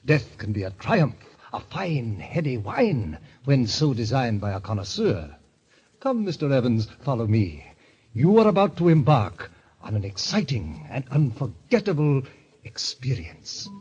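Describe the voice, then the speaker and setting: Sinister, genteel club president, fifties, very husky, swooping delivery, pompous 1940s radio mystery style, dull lo-fi recording. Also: male voice, mid-range voice, big pitch swings, darkish tone, full character voice. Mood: suave, savoring. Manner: pompous, florid, genteel, deliberate phrasing. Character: suave, sinister club president of fifty, relishing death.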